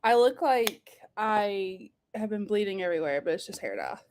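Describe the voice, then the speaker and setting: A woman speaks as a co-host in a casual online book chat. Her voice is of medium pitch. She talks in an informal, flippant way, as in everyday conversation. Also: animated, normal speed, a little mellow, a little hiss or hum. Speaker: co-host of a book readalong live show.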